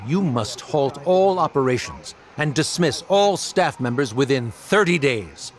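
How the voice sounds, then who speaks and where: A man's voice: medium pitch, close to an everyday voice. Man playing a pompous, cold academy official. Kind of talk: game voice acting.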